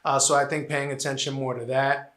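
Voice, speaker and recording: A man in his forties from New Jersey answers interview questions at length, earnest and a little meandering, often pausing on "uh". His voice is bright and forward. The room gives it a clear echo. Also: medium pitch, flat delivery. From New Jersey, Puerto Rican family. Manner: earnest, lots of "uh" and "you know", repeats phrases. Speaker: interviewee, college administrator.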